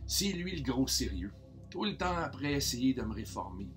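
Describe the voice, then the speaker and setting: A man's voice: mid-range voice, sing-song, moderate pace, faint music behind, natural voice. A man reading the part of a restless teenage boy in his last school year, chatting straight to the audience. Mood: easygoing. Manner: chatty, addressing the audience directly. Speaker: reader in a staged play reading. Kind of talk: theatre reading of a monologue.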